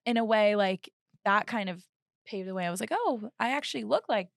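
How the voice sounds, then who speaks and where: A woman's voice: medium pitch, animated, brisk, creaky, no echo, clean studio sound. A young woman co-hosting a podcast, speaking reflectively in a casual chat and often saying "like". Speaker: podcast co-host.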